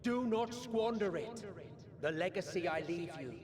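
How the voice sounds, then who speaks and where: Higher-voiced, imperious ancient sorcerer. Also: male voice, expressive, a little slow, a crowd in the background. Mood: grave. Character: proud, weary ancient sorcerer, sardonic and imperious. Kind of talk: scripted video game voice acting. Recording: loud music under the voice.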